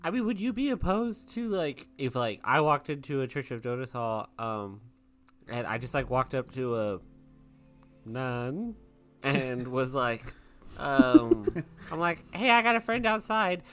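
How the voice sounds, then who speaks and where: A brash, irreverent adventurer in improvised tabletop roleplay played by a woman. The delivery is casual and cheeky, swinging widely in pitch, with faint music underneath. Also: animated, a little slow, a bit bright, full character voice. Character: brash, irreverent, mischievous friend of a vampire.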